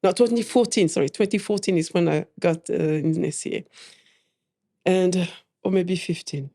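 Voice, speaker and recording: A woman, a coffee trainer chatting as an interview guest, laughing often and filling pauses with "uh". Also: fairly low pitch, warm tone. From France. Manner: chatty, laughs often, many fillers and restarts. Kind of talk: casual interview chat.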